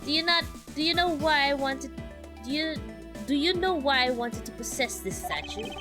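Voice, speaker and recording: A woman acts out a pompous, impatient businessman from a video game, proud of his art. The voice is fairly high, and music plays clearly behind it. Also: expressive, normal speed. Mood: haughty.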